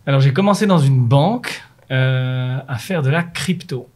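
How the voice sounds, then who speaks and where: A middle-aged man, a native French speaker, answering interview questions about his work as a manager in renewable energy, in a measured, explanatory way with many fillers and restarts. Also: medium pitch, a little mellow.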